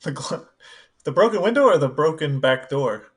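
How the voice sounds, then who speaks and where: Slightly high-voiced man in casual chat. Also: lively intonation, normal speed. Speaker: podcast co-host.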